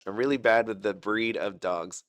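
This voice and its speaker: A man's voice: fairly low pitch, moderate pace, fairly bright tone, no room sound. A sick man rambling through casual gameplay commentary, chatty and self-deprecating, with lots of fillers and restarts. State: sick, fighting a cough. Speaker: gaming channel host.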